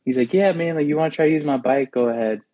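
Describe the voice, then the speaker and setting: A man's voice: a little high, quick pace, dark tone, no echo. A young adult man whose first language is Spanish, telling rambling stories with lots of "like" fillers as a podcast co-host. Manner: rambling storytelling with many fillers. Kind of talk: casual chat.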